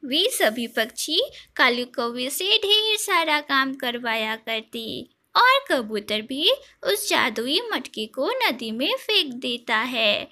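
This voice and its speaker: Female storyteller for kids' tales: high-pitched, slow-paced, very animated scripted narration.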